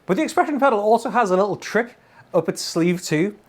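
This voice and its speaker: High-pitched, excitable male guitar reviewer. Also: fairly quick. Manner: excitable, informal. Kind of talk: enthusiastic casual product demo. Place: indoor guitar studio room. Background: electric guitar playing.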